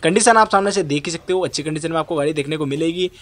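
A man's voice: a little high, fairly bright tone. A man from India vlogging a walkaround of a used car yard under a shed, giving repetitive salesman-style commentary while filming on his phone. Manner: brisk, repetitive salesman patter.

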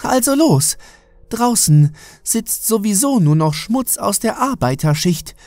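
Man putting on a shrill, haughty old lady. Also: sing-song, measured pace, darkish tone, no room sound, faint music behind, heavily acted. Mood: demanding. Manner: imperious, complaining. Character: haughty, forgetful, demanding fat old lady patient. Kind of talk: horror audiobook character voice.

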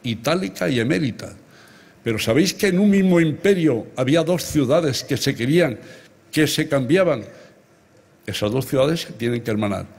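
A man in his seventies from Extremadura with an accent from Spain and a husky voice, giving an emphatic, rhetorical ceremonial speech at a lectern. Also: a little high, expressive, normal speed, a little mellow. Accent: Spanish from Spain. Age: 70s. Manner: emphatic, rhetorical, addressing listeners directly. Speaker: award recipient giving a speech. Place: large open-air ancient theatre stage at night, before a big audience. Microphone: lectern microphones. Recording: amplified outdoor speech with crowd sounds.

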